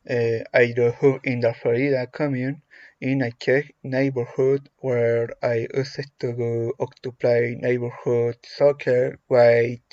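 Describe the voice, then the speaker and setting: Slow, flat-voiced man with Chilean accent. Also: mid-range voice, no echo. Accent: Chilean Spanish. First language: Spanish. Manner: halting, with frequent uh fillers and restarts. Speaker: English language student. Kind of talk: reading a prepared self-introduction. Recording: dull lo-fi recording.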